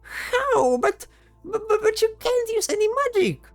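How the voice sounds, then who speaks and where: A woman's voice: very high-pitched, wildly swooping pitch, slow pace, creaky, a little mellow, no echo, faint music behind, full character voice. A woman voicing a distressed magical creature in a fantasy audio drama, pleading and stammering. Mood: shocked. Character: distressed magical flying creature with jaws and flame.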